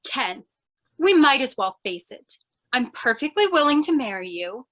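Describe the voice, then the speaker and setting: A woman's voice: high-pitched, very animated, normal speed, fairly bright tone. A woman playing a feisty, impatient young would-be reporter in an old-time radio comedy, insistent and theatrical. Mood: bossy, exasperated. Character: feisty, pushy young female aspiring reporter, impatient fiancée.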